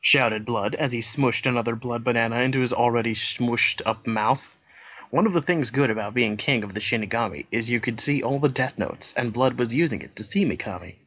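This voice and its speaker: A man reads a story aloud in a deadpan, matter-of-fact narration, tossing in dry asides. His voice sits at an ordinary middle pitch. The sound is a bit dull and lo-fi, like a remote recording. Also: brisk. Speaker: co-host reading a fan fiction aloud. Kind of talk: comedic dramatic reading.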